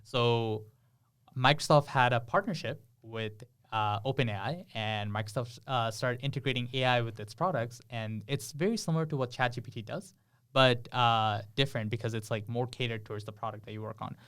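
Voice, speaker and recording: A man's voice: mid-range voice, a little fast, a bit bright, clean tone. A young man from Bangladesh, a podcast guest, talking casually and explaining with frequent laughs and fillers. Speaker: podcast guest, software engineer.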